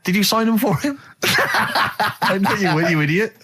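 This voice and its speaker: A man trading comic radio banter, mock-incredulous and quick with sarcastic quips. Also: sits high, animated, bright, forceful tone, husky. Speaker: radio show co-host.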